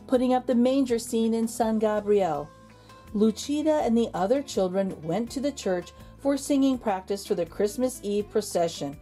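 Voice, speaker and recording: A woman narrating a children's storybook in an expressive, deliberate storytelling manner. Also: medium pitch. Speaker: children's read-aloud host.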